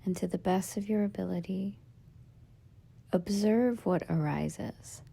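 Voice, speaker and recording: Hushed, slow woman guiding meditation. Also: mid-range voice. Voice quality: soft, breathy, husky, half-whispered. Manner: gentle, soothing, measured instructions in short phrases with pauses. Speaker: yoga nidra meditation teacher. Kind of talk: guided meditation.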